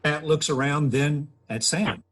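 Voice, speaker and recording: A man's voice: on the high side, measured pace, a bit bright, a little hiss or hum. A man in his thirties narrating stage directions at a table read, from home over a video call. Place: living room, video call.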